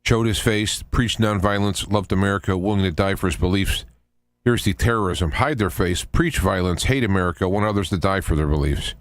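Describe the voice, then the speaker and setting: A man with a fairly low voice hosts a talk show in a dry, close-sounding space. He delivers informal political commentary as a monologue, halting often and wandering into conversational asides. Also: dry recording. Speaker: radio show host.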